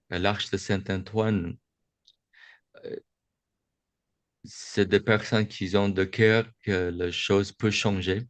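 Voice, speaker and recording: A man from the United States gives a reflective Buddhist talk to young adults over a video call, slow and halting, often restarting his sentences. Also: fairly low pitch, clear, resonant tone, no room sound. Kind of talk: reflective dharma talk on a webinar. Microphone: video call microphone.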